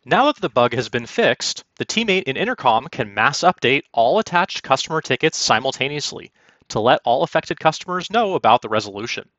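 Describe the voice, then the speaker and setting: Guy doing scripted software tutorial voiceover, instructional, lively pitch, kinda dull muffled audio. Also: a little fast, dry recording. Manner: polished, instructional. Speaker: product demo presenter. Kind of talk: scripted narration for a software walkthrough. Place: quiet, dry room, recorded as voice-over.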